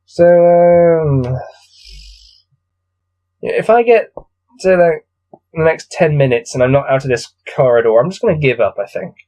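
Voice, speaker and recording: A man with a mellow, relaxed tone pitched a little above average reads a gamebook aloud. He slips between narration and casual commentary, sounding bored and exasperated as he grumbles along. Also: unhurried, long pauses. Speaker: host reading a gamebook aloud.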